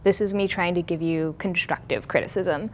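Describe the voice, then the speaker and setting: A young woman talks through creative work in an interview. Her voice sits slightly low, and she explains things as a writer and co-creator would. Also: moderate pace.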